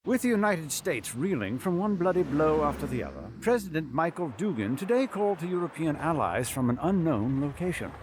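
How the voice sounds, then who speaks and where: News anchor guy, broadcast read, slightly high voice, lively, noisy clip. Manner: measured broadcast news delivery. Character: male TV news anchor, measured. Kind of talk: scripted news report in a game.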